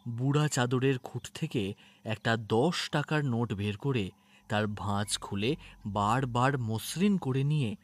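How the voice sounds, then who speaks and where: A man narrating a scripted audio story in an expressive storytelling manner. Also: medium pitch, slow. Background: music.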